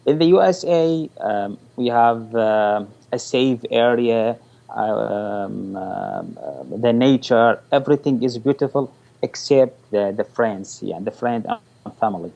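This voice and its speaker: A man from Iraq, speaking English as an as a caller, halting and searching for words. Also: medium pitch, animated, vocal fry, warm tone, muffled recording. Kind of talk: casual chat.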